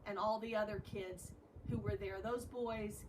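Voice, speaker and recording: An American woman reading a story aloud like a teacher, expressive and explanatory, breaking off for casual commentary. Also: medium pitch, a little slow. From United States. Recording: distant mic, noisy room ambience.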